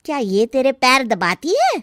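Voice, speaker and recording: A woman's voice: sits high, huge pitch swings, measured pace, a bit bright, dry recording, clean studio sound, heavily acted. A woman voicing a scheming, meddling older aunt in a Hindi story, taunting and insinuating with a sly sweetness. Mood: goading. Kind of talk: dramatized Hindi moral story.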